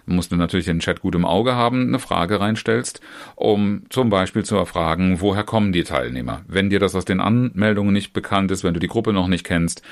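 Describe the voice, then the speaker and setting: A man with a very low voice teaches other trainers in an informal talk, addressing the listener directly. His sentences run long and chained together. He often restarts a phrase or fills a pause with a short hesitation sound. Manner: speaks in long chained clauses with frequent restarts and fillers. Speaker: trainer and trainer educator. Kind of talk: informal instructional talk on online seminars.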